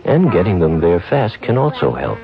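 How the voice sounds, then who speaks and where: A man reading a scripted radio commercial in a calm, reassuring way. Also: low voice, normal speed, gravelly, a crowd in the background. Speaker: commercial announcer. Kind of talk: scripted ad voice-over. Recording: dull old radio ad with music bed.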